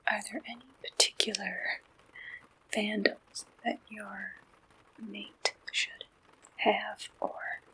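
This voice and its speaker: A woman whispering breathy, gentle questions in an ASMR roleplay, as an interviewer taking down answers. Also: medium pitch, little pitch movement, takes it slowly, pauses often, rough recording. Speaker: ASMR roleplayer acting as a dating service interviewer.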